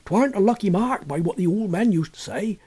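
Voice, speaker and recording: A man voicing a plain-spoken village innkeeper in a storybook, with folksy country speech and a husky voice. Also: high-pitched, expressive, fairly quick, dark tone. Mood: wary, confiding. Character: genial rustic male innkeeper, plain-spoken village local.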